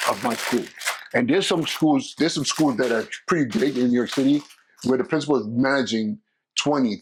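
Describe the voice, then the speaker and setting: A man's voice: medium pitch, a little hiss or hum, rough recording. A middle-aged man from California hosting his own podcast, talking casually with lots of restarts and fillers. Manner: conversational, many restarts and fillers. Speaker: podcast host and school principal. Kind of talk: casual solo podcast talk.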